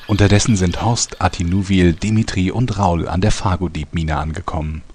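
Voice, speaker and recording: Deep-voiced mock-epic male narrator. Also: steady tone, moderate pace, darkish tone, a little hiss or hum. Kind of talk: scripted parody narration.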